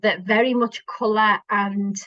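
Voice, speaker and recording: A woman's voice: mid-range voice, fairly even intonation, measured pace, dry recording. Chatty Liverpool woman, dry and dull. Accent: Liverpool, softened by years in Scotland. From Liverpool, England. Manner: chatty, warm, restarts sentences often. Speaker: guest, somatic body worker. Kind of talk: casual podcast chat.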